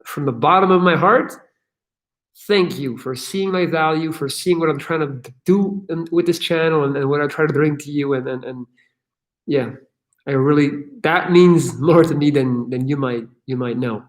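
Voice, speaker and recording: A man hosts a casual livestream question session with a slightly high voice. He talks loosely and energetically, swears freely and fills his speech with "like" and "um". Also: moderate pace. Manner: casual, profane, energetic, with many fillers. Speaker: channel host answering viewer questions.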